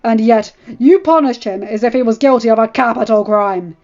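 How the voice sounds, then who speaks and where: A woman voicing a stern, furious middle-aged lord who speaks in cold, clipped lines and rises to shouts, in a dull lo-fi recording with faint music. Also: a little high, moderate pace, lightly acted.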